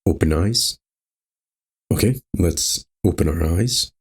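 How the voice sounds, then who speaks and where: A man's voice: low-pitched, slow pace, slightly rough. A man hosting a gaming video, reading visual novel narration aloud and adding casual commentary.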